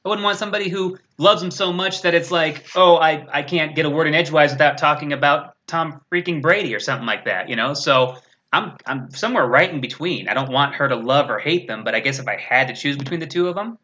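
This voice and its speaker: A man's voice: a little high, quick pace, pushed and bright. A man chatting casually as a guest on a gaming livestream, talkative and opinionated with a sarcastic streak. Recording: slightly dull, lo-fi stream audio.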